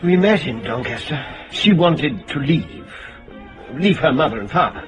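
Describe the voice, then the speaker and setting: A man's voice: a little high, lively intonation, a little slow, over music. A British actor playing a genteel, evasive male schoolteacher in a radio drama, with a formal, precious and hesitant way of speaking. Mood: genteel, reminiscing. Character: genteel, evasive schoolteacher courting his female investigator.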